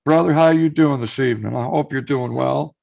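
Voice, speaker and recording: Hoarse, gravelly-voiced male live streamer. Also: medium pitch, a little fast, a little mellow. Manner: chatty, reads out viewer messages and greets them by name. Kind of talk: casual live stream chat with viewers.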